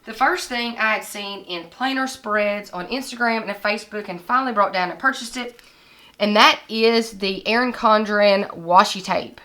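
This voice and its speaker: Hoarse, scratchy-voiced woman vlogger. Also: mid-range voice, moderate pace, bright, forceful tone. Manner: chatty, shows items to camera. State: hoarse, recovering from lost voice. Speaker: planner vlogger. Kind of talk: casual product haul vlog. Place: indoors at a desk with lighting kit.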